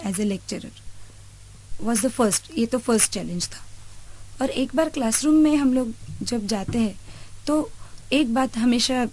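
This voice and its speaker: A woman's voice: fairly high pitch, darkish tone, low-quality recording. A middle-aged woman with an Indian accent answering in an interview, explaining with repeats and rephrasing. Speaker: college lecturer, interview guest. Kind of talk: conversational interview answer, Hindi mixed with English.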